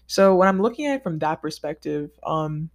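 A man talking straight to his audience in a relaxed vlog. His delivery is upbeat and chatty, loose and conversational, with casual slang. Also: fairly high pitch, normal speed, creaky. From United States. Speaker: vlogger. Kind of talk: casual scripted monologue ranking countries.

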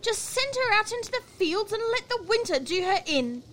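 A spiteful fairy-tale stepwife, acted out by a male storyteller, commanding and shrewish. The voice is piercingly high and nags in big swoops. There is a faint noise behind it. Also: very animated, moderate pace, sharp tone, heavily acted. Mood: spiteful, nagging. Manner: shrill, nagging, imperious.

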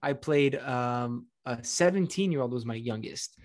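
A young adult man co-hosting a podcast, playful and expressive, swearing casually in banter. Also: medium pitch, measured pace, dry recording, clean studio sound. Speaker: podcast co-host and actor.

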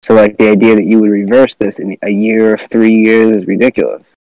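A man's voice: fairly low pitch, level tone, brisk, a little mellow, dry recording. A man in his twenties chatting casually about politics in an opinionated, slangy way, recorded on dull lo-fi audio. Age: 20s. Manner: opinionated, informal, slangy with profanity.

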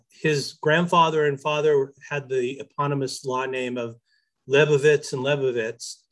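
A man from New Hampshire with a medium-pitched voice talks as an interview guest. He tells stories in a casual, anecdotal way, as in relaxed podcast conversation. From New Hampshire, United States. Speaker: podcast guest, business ethics expert.

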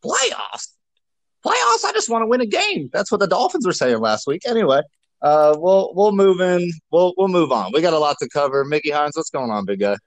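A man's voice: sits high, sing-song, normal speed, no echo. A man co-hosting a casual sports podcast, playful and jokey, with a hype delivery. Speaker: podcast co-host.

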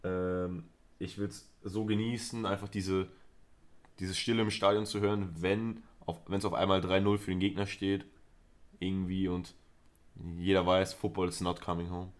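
Deep voiced guy cohosting a podcast, joking around, rambly. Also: little pitch movement, moderate pace. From Southern Europe. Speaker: podcast co-host. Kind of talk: casual chat.

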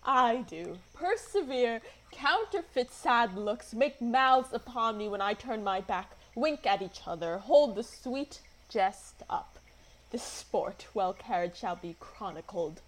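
Wounded young heroine for Shakespeare radio drama, played by a woman: highish voice, slow deliberate verse, indignant and theatrical. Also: lively intonation, poor audio. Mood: bitter, accusing. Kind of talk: amateur Shakespeare radio play acting.

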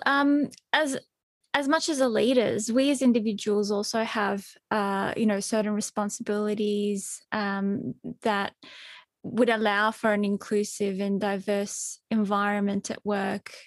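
A woman's voice: medium pitch, fairly even intonation, measured pace, no echo. A woman hosting a workplace podcast talks in a chatty, friendly way, with plenty of fillers and restarts. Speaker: podcast host, team member.

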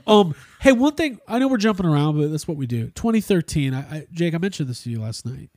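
A man's voice: on the high side, expressive, quick pace, darkish tone, no room sound, clean studio sound. A man hosting a casual podcast chat about a film, rambling and jokey, swearing freely. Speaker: podcast host.

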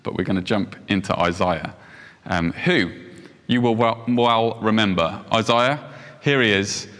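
A young man preaches in a relaxed, self-deprecating way, his speech full of ums and asides. His voice sits very low. It rings out in a big reverberant hall. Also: measured pace. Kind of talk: informal sermon with storytelling.

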